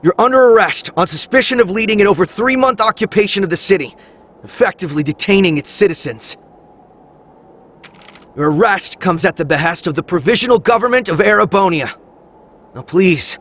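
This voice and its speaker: Earnest young cop hero, slightly high voice, firm and measured, video game drama. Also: male voice, animated, a bit bright. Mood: stern. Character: young male police team leader, earnest and resolute. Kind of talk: anime-style video game voice acting.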